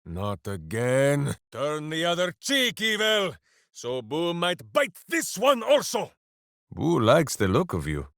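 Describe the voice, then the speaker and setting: An actor playing a big-hearted, dim-witted heroic ranger in a video game, bombastic and earnest, speaking of himself in the third person. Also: male voice, medium pitch, vocal fry, no room sound, clean studio sound, exaggerated voice. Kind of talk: video game character voice lines.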